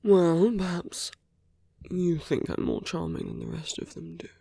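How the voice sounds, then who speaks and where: Male actor as charming villain, flirtatious ASMR roleplay, unhurried, creaky soft voice, whispery teasing delivery, secretly tender. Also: a little high, huge pitch swings, no room sound, full character voice. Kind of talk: intimate ASMR roleplay, scripted monologue.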